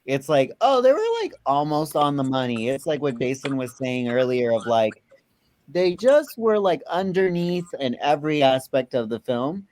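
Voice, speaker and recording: A man's voice: mid-range voice, big pitch swings, normal speed, darkish tone, no echo. A man in a casual film discussion, rambling and repeating words as he thinks aloud. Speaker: film review panelist.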